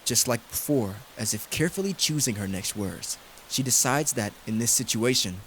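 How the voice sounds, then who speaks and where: A male streamer with a mid-pitched voice narrates a visual novel and chats casually between passages. His voice is slightly worn, and there is clear noise with clicks behind him. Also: expressive, normal speed, a bit bright, low-quality recording. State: voice tiring. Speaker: video game streamer reading a visual novel aloud. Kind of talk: dramatic narration mixed with casual commentary.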